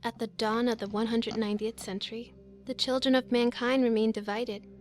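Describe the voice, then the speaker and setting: A woman playing a solemn narrator of a far-future war in a video game, speaking in a measured way. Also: fairly high pitch, little pitch movement, faint music behind, lightly acted. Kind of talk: scripted dramatic narration.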